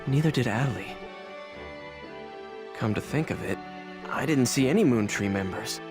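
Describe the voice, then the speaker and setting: A man's voice: medium pitch, animated, moderate pace, lightly acted. An actor voicing a brusque, guarded young male hero in a game cutscene, speaking curtly. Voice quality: curt, blunt. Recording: game cutscene with music underneath.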